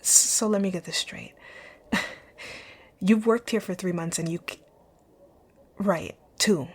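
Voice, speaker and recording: A woman playing a rich, dominant boss in an ASMR roleplay, speaking in a curt, commanding way with a soft, breathy, husky voice. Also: mid-range voice, a little slow. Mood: stern, probing. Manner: curt, commanding, breathy, often near a whisper. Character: rich, rude, dominant female boss, stern but secretly caring. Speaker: audio roleplay voice actor.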